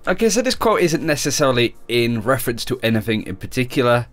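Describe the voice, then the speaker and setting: A man presents a gaming video in a chatty, informal way. His voice sits at a middle pitch, and he talks like a host addressing regular viewers. Faint music runs underneath. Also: big pitch swings, fast, a bit bright. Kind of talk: casual video commentary. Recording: music behind the voice. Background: faint background music.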